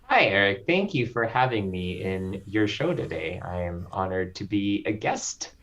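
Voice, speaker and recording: Slow, measured man. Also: fairly low pitch, very animated, a bit bright. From Philippines. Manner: slow, measured, reflective with frequent ums. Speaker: composer guest. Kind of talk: interview conversation. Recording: slightly dull lo-fi audio.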